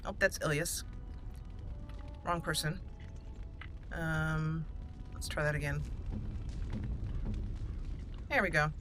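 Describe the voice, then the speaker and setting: A woman's voice: low voice, fairly even intonation, slow, long pauses, over music. A woman hosting a gaming channel, giving casual, chatty gameplay commentary and explaining as she goes.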